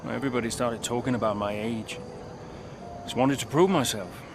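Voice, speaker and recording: Actor as a dry, weary veteran racing driver. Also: male voice, mid-range voice, steady tone, moderate pace, noisy background, close to an everyday voice. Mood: defensive. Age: middle-aged. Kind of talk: naturalistic video game cutscene acting.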